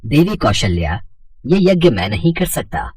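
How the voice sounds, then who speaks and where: A man's voice: mid-range voice, big pitch swings, normal speed, a little mellow, husky, no echo. A man playing a solemn, reassuring royal priest and sage, declaiming formally in a mythological story dramatization.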